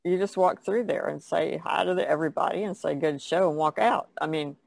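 A woman's voice: sits low, brisk, creaky, a little mellow, dry recording. A woman chatting casually as a podcast guest, in a plainspoken way. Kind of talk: casual chat.

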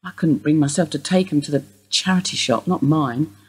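A woman acting in a radio play as a weary, evasive older wife under suspicion, husky and rambling in an understated way. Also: low voice, moderate pace, darkish tone. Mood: wistful, evasive.

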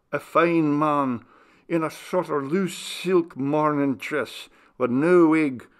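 A man voicing an old servant woman telling a sorrowful ghost story in a folksy, deliberate way. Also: medium pitch, close to an everyday voice. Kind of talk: literary dialogue storytelling.

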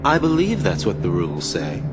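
A man's voice: fairly low pitch, wildly swooping pitch, normal speed. An actor playing a nonchalant young male villain in a video game, with a casual, wry delivery. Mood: sly. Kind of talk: video game cutscene acting. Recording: loud dramatic music underneath.

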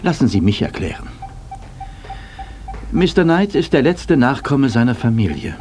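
An actor playing a composed, loyal gentleman aide in a German radio play, formal and assured. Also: mid-range voice, very animated, moderate pace, lightly acted. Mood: grave, insistent. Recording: loud background noise, vehicle sounds.

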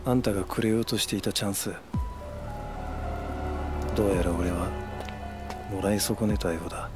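A grim, defeated man, acted by a man in a game drama. His low voice is weak and faltering, resigned to his fate, with music behind it. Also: fairly even intonation, normal speed, close to an everyday voice. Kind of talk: video game voice acting.

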